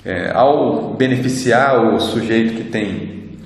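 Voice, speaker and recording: A man's voice: mid-range voice, slow pace, creaky. A man with a Brazilian accent gives an informal explanatory lecture as an instructor, in a conversational way with frequent tag questions. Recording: very echoey reverberant room, background noise.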